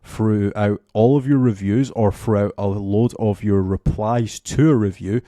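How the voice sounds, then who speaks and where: A young man, slightly hoarse with a cough, giving a casual, filler-heavy screen-share tutorial into a close studio mic. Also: on the low side, fairly even intonation, measured pace, mellow, dry recording. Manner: casual, rambling with many fillers. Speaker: SEO coach.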